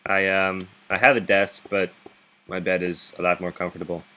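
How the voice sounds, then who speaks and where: A man with a very deep voice giving rambling gameplay commentary from his bed into a laptop microphone, chuckling often and restarting his sentences. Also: little pitch movement, moderate pace, pushed and bright. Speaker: gaming video host. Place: bedroom, sitting up in bed.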